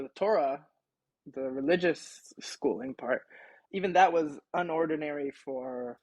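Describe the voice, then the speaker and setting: A man's voice: fairly high pitch, no echo, poor audio. Wry young man, dry close mic. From Israel. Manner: relaxed, wry, many fillers and restarts. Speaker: podcast guest. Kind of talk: casual interview talk. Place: home setup, self-recording.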